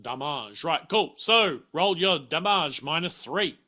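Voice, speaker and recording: Aussie guy game master, kinda high bright voice, stumbles and repeats, music faintly behind. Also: animated, takes it slowly. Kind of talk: casual tabletop game refereeing.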